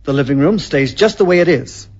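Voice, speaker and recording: An actor playing a grumpy, stubborn, aggrieved husband with simmering menace, exasperated and emphatic, in a radio drama. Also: male voice, on the high side, expressive, fairly quick, noisy background, lightly acted. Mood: angry, threatening. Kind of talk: old-time radio drama acting and narration. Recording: dull lo-fi recording with music.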